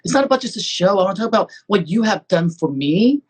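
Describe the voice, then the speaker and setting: A man's voice: sits high, lively intonation, quick pace, breathy edge. A man hosting a talk show, effusive and rambling, with many restarts. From Taiwan. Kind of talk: casual interview chat.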